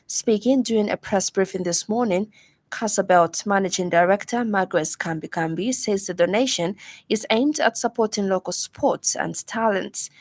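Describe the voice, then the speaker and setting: A woman's voice: medium pitch, normal speed, a little mellow, no room sound. A woman with a Zambian accent reads a scripted news bulletin on the radio from a studio. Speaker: news reader. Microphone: studio broadcast microphone.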